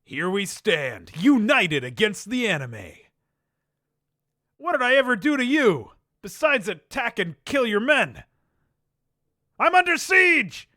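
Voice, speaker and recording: A man voicing a bombastic army commander who barks orders with theatrical swings between calm and yelling. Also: high voice, measured pace, no room sound, clean studio sound, heavily acted. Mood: commanding. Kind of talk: comedic game-character voice lines.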